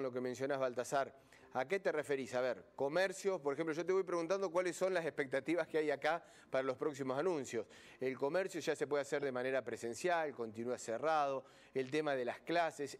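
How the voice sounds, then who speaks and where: A man with an Argentine Spanish accent hosting a broadcast news interview, chatty and quick to fill pauses with short agreeing sounds. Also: on the high side. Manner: chatty, many "ujú" backchannels. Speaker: news host.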